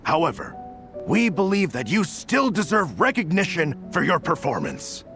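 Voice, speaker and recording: A gracious official in a video game, delivering formal announcements in a fairly high, animated voice. Music plays clearly behind him. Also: male voice, moderate pace. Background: background music.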